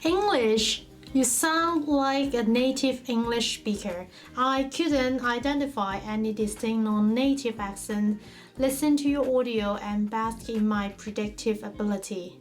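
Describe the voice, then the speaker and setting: A woman's voice: a little high, measured pace, soft background music. A middle-aged woman from Hong Kong, vlogging casually and chatting with people she meets. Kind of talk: casual vlog talk and street interviews.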